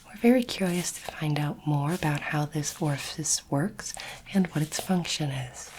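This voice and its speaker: A woman whispering breathily in an ASMR roleplay as a courteous, curious alien doctor, gentle, reassuring and measured. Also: deep voice. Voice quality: breathy, mostly unvoiced whisper. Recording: noisy close recording, clicking sounds.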